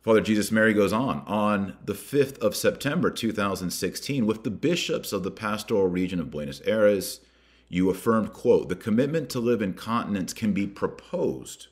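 An American man hosting a podcast, reading and commenting in an emphatic, deliberate way that stresses key words and names. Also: on the low side, expressive.

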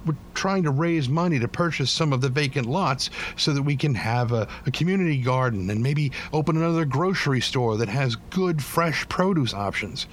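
A man playing a weary, bitter but devoted working-class widowed father in an audio drama, plain-spoken and halting. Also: medium pitch, animated, slight background noise, natural voice. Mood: weary, determined. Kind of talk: naturalistic audio drama acting.

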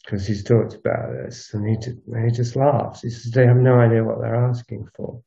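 A man recording a solo spoken audio log on a walk, musing in a halting, unscripted way and circling back over his phrases. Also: medium pitch, fairly even intonation, vocal fry, dark tone. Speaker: podcast host. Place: outdoors, recorded while walking. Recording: dull lo-fi outdoor recording.

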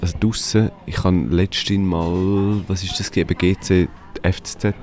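A man's voice: low voice, fairly even intonation, normal speed, a little mellow. A man, chatting casually in a rambling way with many restarts. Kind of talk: casual conversation.

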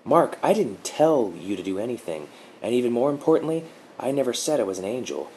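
A man voicing a sweet-talking female dark guardian spirit, soothing and gently mocking. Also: mid-range voice, very animated, a little fast, a little hiss or hum, pitched above the performer's own voice, rough recording. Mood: patient.

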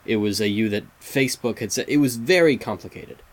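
Fan site guy doing an interview, kinda low voice, polite, lots of ums and uhs. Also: a little fast. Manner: polite, earnest, hesitant with fillers. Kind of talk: casual interview.

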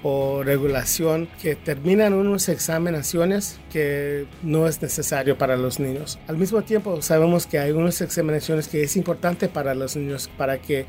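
A man from Kansas with an American accent, a teachers' union representative answering interview questions in halting second-language Spanish, searching for words. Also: on the high side, darkish tone, soft background music. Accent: American English. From Kansas, USA. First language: English.

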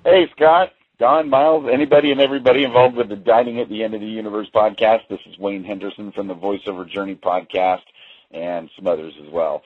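A narrow, phone-quality recording of a man with a lowish, husky voice. He leaves a friendly, upbeat voicemail in a casual style, as a caller would. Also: fairly even intonation, a little fast, dry recording. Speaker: listener calling in, podcaster. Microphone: telephone. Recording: muffled, roughly band-limited phone voicemail.

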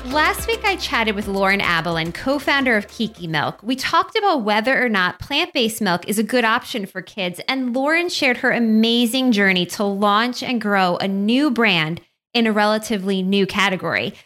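A woman's voice: medium pitch, animated, normal speed, a bit bright, soft background music. A woman hosting a parenting podcast, reading a scripted introduction in a friendly, conversational way and then interviewing a guest. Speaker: podcast host.

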